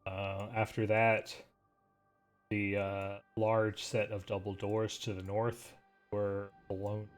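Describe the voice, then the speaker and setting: A man running a tabletop game as game master, describing scenes in a relaxed way with many pauses. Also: on the low side, faint music behind.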